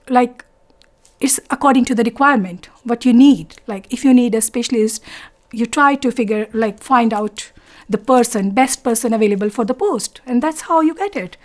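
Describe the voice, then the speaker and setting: A woman in her forties with an Indian accent, speaking in a slightly high voice. She tells her own story to an interviewer, soft-spoken and unhurried, often stopping to search for the right word. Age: 40s. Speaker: interviewee, doctor.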